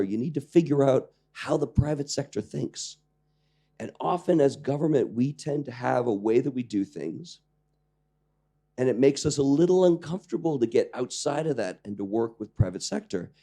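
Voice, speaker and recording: Croaky man lecturing a class. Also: mid-range voice, lively intonation, moderate pace, dark tone. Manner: jokey, engaging the audience with questions. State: croaky voice, clearing throat. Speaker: lecturer on public-private partnerships. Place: lecture room with live audience.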